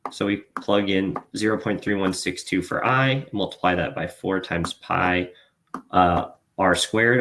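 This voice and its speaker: A man's voice: low voice, a bit bright, a little hiss or hum. A man explaining a worked problem step by step as a tutor, informally thinking aloud. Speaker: physics tutor.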